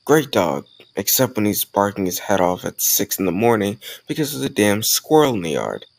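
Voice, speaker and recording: A man playing an easygoing male college student who chats casually and teases gently in an intimate romantic audio roleplay. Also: fairly low pitch, big pitch swings, normal speed, creaky, no echo, heavily acted. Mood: friendly, teasing. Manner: casual, conversational, gently teasing.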